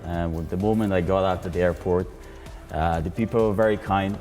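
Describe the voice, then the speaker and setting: A man's voice: deep voice, steady tone, quick pace, darkish tone, faint music behind. A man from Afghanistan, a studio guest who answers in an easygoing way, with many fillers and restarts. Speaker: studio guest, hostel owner. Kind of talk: conversational interview answers.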